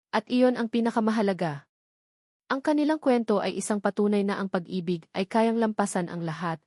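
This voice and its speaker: A woman's voice: mid-range voice, a little mellow, no echo, studio quality. A woman with a Filipino accent reading scripted narration for a romantic audio drama. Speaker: story narrator.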